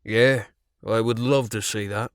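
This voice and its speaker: A man's voice: lowish voice, steady tone, measured pace, smooth, no echo, studio quality. A man voicing a huge, arrogant young man who talks in a dismissive, mocking way.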